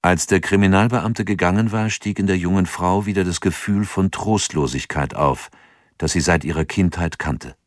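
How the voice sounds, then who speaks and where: A man narrating a radio play in a measured, grave manner. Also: sits low, no echo, clean studio sound. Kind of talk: scripted narration.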